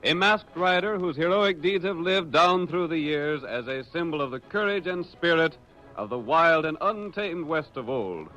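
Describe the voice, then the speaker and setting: A man's voice: fairly high pitch, sharp tone. Theatrical old-time radio announcer, male. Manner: theatrical, declamatory. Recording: dull vintage radio recording.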